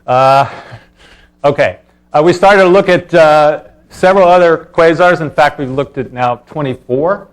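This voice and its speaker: Halting male scientist giving a research talk. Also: on the high side. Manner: halting, informal, explanatory delivery with frequent hesitations. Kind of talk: academic conference talk.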